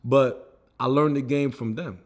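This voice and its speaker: A young American man talking straight to camera about his own setbacks. His delivery is loose and conversational, full of fillers and restarts, at a mid-range pitch. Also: fairly even intonation, measured pace. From United States. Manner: loose, conversational, full of fillers like "you know what I mean". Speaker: vlogger. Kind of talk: casual personal storytelling.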